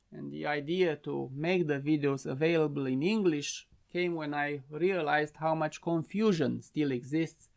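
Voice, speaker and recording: Man, Romanian accent, non-native English, gravelly voice, raised pitch, earnest prepared talk, small office studio. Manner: measured, earnest explanatory delivery. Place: simple home-office studio.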